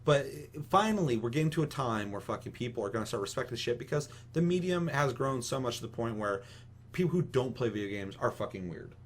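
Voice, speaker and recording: A man's voice: mid-range voice, expressive, a little fast. A man chatting casually as a podcast host, giving opinions in an emphatic, rambling way full of profanity. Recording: clearly audible hum and noise.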